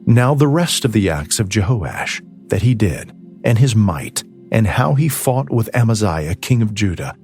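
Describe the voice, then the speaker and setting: A man narrating scripture as a scripted audiobook reading, with measured, solemn phrasing. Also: on the low side, darkish tone. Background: ambient music.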